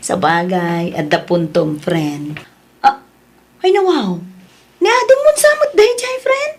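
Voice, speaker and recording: An actress with an Ilocano Filipino accent playing a teasing, gossipy friend in a casual audio drama, chatty in manner. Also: female voice, lowish voice, animated, slow pace, full character voice.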